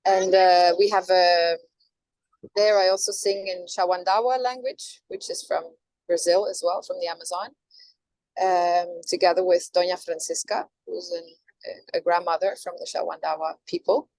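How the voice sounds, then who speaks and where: Soft-spoken woman, thoughtful. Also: on the low side, steady tone, no room sound, poor audio. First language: Norwegian. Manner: thoughtful, halting, with many restarts and fillers. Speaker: singer, interview guest. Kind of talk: reflective interview answers.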